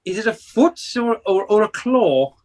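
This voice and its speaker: A man's voice: high-pitched, sing-song, unhurried, a little mellow. A man playing an elderly, humbled miser with formal, theatrical delivery in an amateur radio play. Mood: uneasy. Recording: noisy amateur video call audio.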